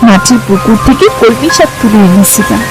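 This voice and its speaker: Lively, expressive village wife in a cartoon, acted by a woman. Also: fairly high pitch, a little fast, natural voice, poor audio. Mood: tender, consoling. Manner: coaxing, pleading, tenderly scolding. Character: caring, anxious, hopeful village wife and mother. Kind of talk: animated folk tale dialogue. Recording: music loud behind the voice.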